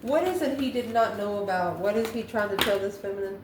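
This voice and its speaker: A woman's voice: mid-range voice, fairly quick. A woman doing an improvised tarot card reading, musing aloud and answering her own questions. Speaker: tarot reader, channel host. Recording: echoey room, faint background noise.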